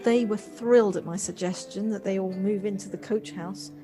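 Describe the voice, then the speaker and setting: A woman in an audio play acting an earnest, reflective woman with a halting, tentative delivery. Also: medium pitch, fairly even intonation, natural voice. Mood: happy, tender. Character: earnest, hesitant woman coming home softened and reflective. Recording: home recording on personal device, music behind.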